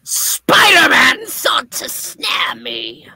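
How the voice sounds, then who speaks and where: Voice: high voice, wildly swooping pitch, very slow, gravelly, pushed and bright, no echo, voice pushed up, full character voice. A performer voicing a gloating, ranting male hobgoblin supervillain in a grandiose way, as in a live comic book reading. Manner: theatrical, grandiose.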